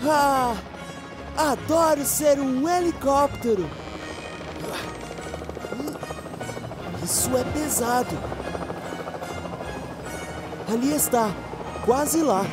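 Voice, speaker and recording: A woman voicing a cheerful, earnest young male train engine in a children's cartoon, with a Brazilian accent. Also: sits high. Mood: helpful, eager. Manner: wide-eyed, earnest, exclamatory. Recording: background music under the voice.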